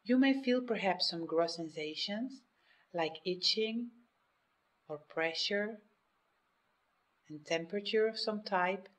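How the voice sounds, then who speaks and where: Female meditation guide, medium pitch, scripted instruction delivered in measured phrases with pauses.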